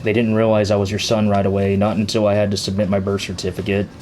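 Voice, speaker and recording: Man voicing a quiet remorseful young son. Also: on the low side, fast talker, slight background noise, close to an everyday voice. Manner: quiet, even confessional delivery. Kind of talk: audiobook character reading.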